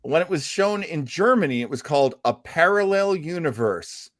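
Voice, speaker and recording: A man with a slightly high voice talks casually as a podcast co-host. The sound is dry, with very little room in it, and the delivery is informal fan chat. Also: expressive, normal speed, dry recording.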